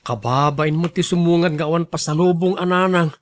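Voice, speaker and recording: A man's voice: on the high side, fairly even intonation, a little fast, close to an everyday voice. A man playing an earnest, devoted young son in a radio drama, respectful and pleading with his mother. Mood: coaxing. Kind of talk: Ilocano radio drama acting.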